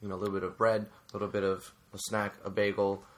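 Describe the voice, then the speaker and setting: A man's voice: lowish voice, little pitch movement, fast. A man who coaches youth soccer, co-hosting a casual advice chat, earnest and growing emphatic as he makes his points. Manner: earnest, rises to emphatic when making a point; frequent fillers and restarts.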